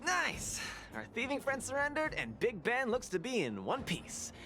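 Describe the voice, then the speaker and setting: A showy, good-natured young champion fighter in a game. He bounces high and animated through every boast. Loud game music plays under him. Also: moderate pace, full character voice. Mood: boastful. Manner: boisterous, showy, upbeat. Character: boastful cheerful young male boxing champion. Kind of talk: video game voice acting.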